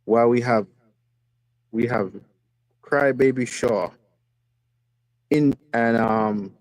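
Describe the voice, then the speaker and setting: A man with a medium-pitched voice delivers an impassioned fan rant. He talks casually and hammers points home by repeating words. The sound is dry, as from a call on his phone. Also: takes it slowly, a little mellow, dry recording, poor audio. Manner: emphatic, repeats words and phrases for stress. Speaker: fan caller on a football live stream. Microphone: phone, video call.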